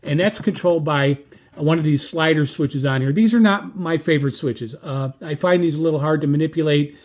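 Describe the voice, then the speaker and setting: An American man giving a casual, unscripted product review as a gadget reviewer, with a halting, self-correcting delivery. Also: on the high side. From United States. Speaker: radio reviewer.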